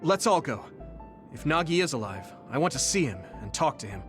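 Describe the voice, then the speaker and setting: A man's voice: fairly high pitch, very animated, brisk. A man playing an earnest, direct young squad leader in an anime-style game. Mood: urgent, resolute. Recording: music under the dialogue.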